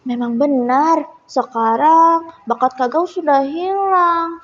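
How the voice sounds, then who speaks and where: A young female storyteller voicing concerned, gossiping village friends in puzzled, hushed asides. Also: high-pitched, slow. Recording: muffled echoey hall recording.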